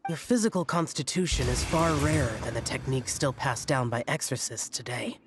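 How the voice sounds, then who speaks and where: A man's voice: mid-range voice, fairly quick, a little hiss or hum, lightly acted. A man voicing a stern, aloof young immortal demon slayer in an anime game, formal and solemn.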